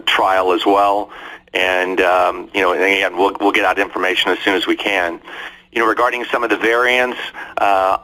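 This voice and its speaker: A man speaking as a company executive answering on an earnings call, in measured, hesitant corporate phrasing. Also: fairly low pitch, sharp tone, rough recording.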